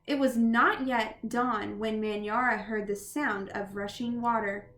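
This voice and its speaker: A woman reading a storybook aloud in an expressive narrating style. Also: fairly high pitch, unhurried. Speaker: storybook reader. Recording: echoey room with loud background noise.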